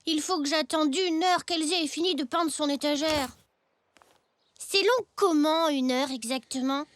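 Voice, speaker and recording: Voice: high-pitched, measured pace, fairly bright tone. A performer playing an eager, impatient little boy zebra in a cartoon, with a childlike, questioning manner. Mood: curious. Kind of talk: French children's cartoon dubbing.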